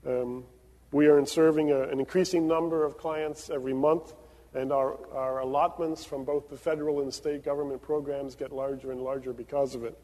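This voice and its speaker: Man, pastor, from Venezuela, halting announcements, long church echo. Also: medium pitch, little pitch movement, dark tone. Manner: hesitant, many fillers in announcements. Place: church sanctuary. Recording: long reverb of a church sanctuary.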